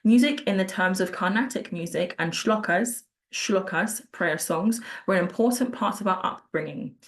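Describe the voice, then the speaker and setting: A woman's voice: mid-range voice, moderate pace. A woman hosting an online panel discussion, speaking in an affirming, appreciative manner. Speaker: panel host. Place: online video call.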